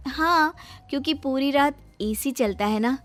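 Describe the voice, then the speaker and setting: A woman's voice: high-pitched, a little slow. A woman voicing a feisty, outspoken young married woman in a cartoon story, with theatrical indignation. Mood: teasing.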